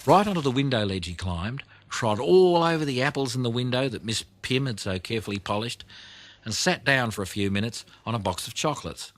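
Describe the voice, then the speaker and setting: A man reading a children's audiobook as narrator, telling the story expressively with deliberate emphasis. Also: medium pitch, expressive, no echo.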